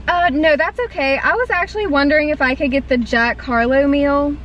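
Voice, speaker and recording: A woman's voice: high-pitched, fairly quick, a bit bright, muffled recording, a little hiss or hum. A young woman from North Carolina with a light Southern accent, chatting casually in a rambling vlog from inside her car. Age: young adult. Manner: rambling, filler-heavy with lots of "like". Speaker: food vlogger. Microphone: camera on the dashboard.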